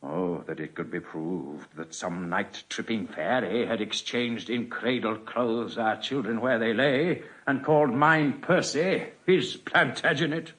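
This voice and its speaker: An actor playing a weary, grave king in classical Shakespearean audio drama, declaiming in a measured, solemn way. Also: medium pitch, a bit bright, close to an everyday voice. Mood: wistful, regretful. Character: weary, careworn English king, grave and regal.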